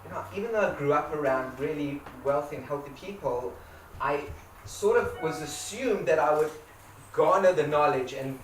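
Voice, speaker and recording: A man from Africa, speaking informally to a live audience, often repeating and restarting his words. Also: on the high side. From South Africa. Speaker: community owner giving a talk. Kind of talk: personal talk to an audience. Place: event space with a live audience. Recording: roomy echo, distant mic, background noise.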